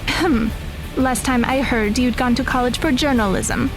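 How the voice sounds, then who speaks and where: A woman playing a guarded, downcast young woman in an audio drama, speaking in a hesitant, measured way. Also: on the high side, music in the background, close to an everyday voice. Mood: guarded, surprised. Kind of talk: scripted audio drama dialogue.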